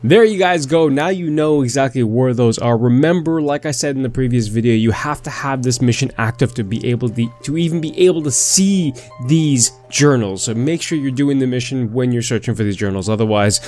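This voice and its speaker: Male game-guide narrator, average pitch, informal and playful direct address, music underneath. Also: fairly quick, hardly any pauses, darkish tone. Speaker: gaming video host. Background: background music.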